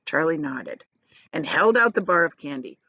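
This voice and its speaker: A woman reading a children's novel aloud as a teacher would, in expressive storybook narration. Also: deep voice, normal speed, creaky, no room sound, muffled recording.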